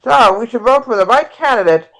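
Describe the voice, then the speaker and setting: A woman's voice: on the high side, animated, a bit bright, low-quality recording. A woman playing an earnest, hopeful progressive presidential candidate in an amateur table read of a play. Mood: urging, earnest. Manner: halting script reading with stumbles.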